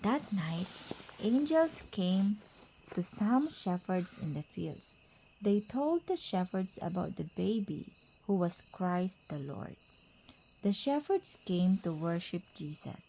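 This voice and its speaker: Slow, mellow woman reading a children's book. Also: mid-range voice, sing-song, breathy edge, low-quality recording. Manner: simple, deliberate phrasing with pauses. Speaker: mother reading aloud to a child. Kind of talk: children's picture-book read-aloud.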